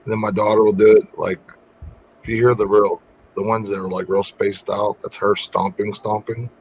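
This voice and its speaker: A man hosting a casual working livestream from home over a video call, his voice going hoarse as he rambles through stories. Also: lowish voice, moderate pace. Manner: rambling, animated storyteller. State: voice getting hoarse. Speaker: livestream host. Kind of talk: casual chat while working. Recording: lo-fi call audio with faint noise.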